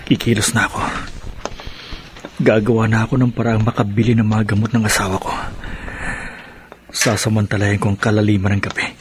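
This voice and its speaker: A male actor plays a desperate poor husband turned night burglar in a radio drama monologue. He broods aloud in a low voice over a clearly noisy track. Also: flat delivery, fairly quick, long pauses, natural voice. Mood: determined. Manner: brooding, talking to himself.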